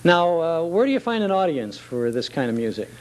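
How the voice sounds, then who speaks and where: A man's voice: on the high side, big pitch swings, a little fast. A man hosting a TV interview show, chatting in a folksy way with his guest. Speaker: TV show host.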